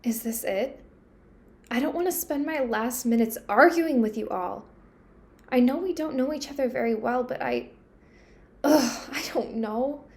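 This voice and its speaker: An earnest, anxious submarine crewwoman acted by a woman in an amateur audio drama. Her voice has a noticeable creaky fry, and she pleads in a natural, conversational way. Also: a little high, unhurried. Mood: sad, pleading.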